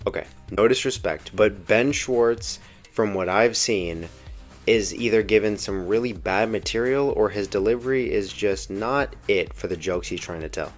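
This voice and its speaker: Young guy doing a casual film review, lowish voice, slangy fan talk, music playing behind him. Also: normal speed. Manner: slangy, informal, earnest fan enthusiasm. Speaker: video essay host reviewing a film. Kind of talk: casual opinion commentary. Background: background music.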